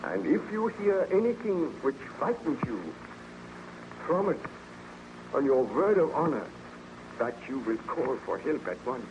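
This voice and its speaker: A haunted young nobleman in a vintage radio play, anxious and halting. His delivery swings widely in pitch with melodramatic urgency. The old recording is muffled and thick with noise. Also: big pitch swings. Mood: anxious, urgent. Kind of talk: old-time radio drama acting.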